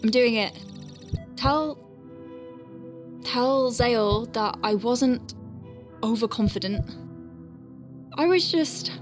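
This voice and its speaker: A woman's voice: a little high, slow pace, pauses often, music in the background. An actress playing an anxious, wry woman medic piloting a tiny craft in a sci-fi audio drama, hesitant under pressure. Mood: scared, wry.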